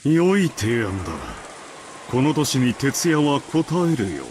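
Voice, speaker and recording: A male actor playing a formal, self-assured middle-aged gentleman in a Japanese visual novel. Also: mid-range voice, very animated, moderate pace, a little hiss or hum, lightly acted. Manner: formal, authoritative.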